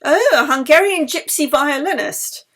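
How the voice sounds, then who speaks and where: A woman's voice: high-pitched, expressive, moderate pace, a bit bright, pitched above the performer's own voice. A woman reading an audiobook, voicing a blunt, cheeky, nosy London ambulance man. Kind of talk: audiobook character dialogue.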